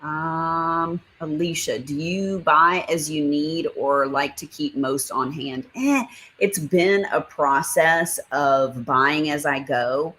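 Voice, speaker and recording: A woman hosts a relaxed livestream. She rambles loosely as she shows her supplies. Now and then she reads viewer comments aloud and answers them. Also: low voice, slow pace, slight background noise. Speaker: livestream host. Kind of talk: casual livestream chat.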